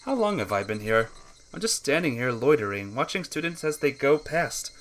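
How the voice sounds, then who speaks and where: A man reading a visual novel aloud as a let's play narrator, with expressive, earnest storytelling delivery. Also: mid-range voice, normal speed.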